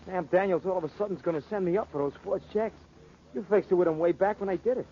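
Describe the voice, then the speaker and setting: An actor playing a jittery small-time ex-crook pleading in ungrammatical street slang, in 1940s radio style. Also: male voice, sits high, fast talker. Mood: anxious. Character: jittery small-time ex-forger begging his boss for help. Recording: muffled, noisy 1940s radio recording.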